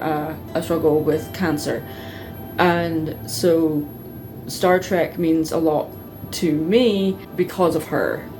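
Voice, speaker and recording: A woman telling personal stories to her viewers in a casual, chatty, expressive way, like an enthusiastic fan. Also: on the low side, low-quality recording. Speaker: vlogger.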